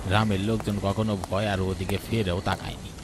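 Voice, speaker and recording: A man playing a worried old village grandfather in a horror cartoon, admonishing and gravely telling tales. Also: on the low side, level tone, brisk, background noise, lightly acted. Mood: grave, ominous. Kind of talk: Bengali horror cartoon dialogue.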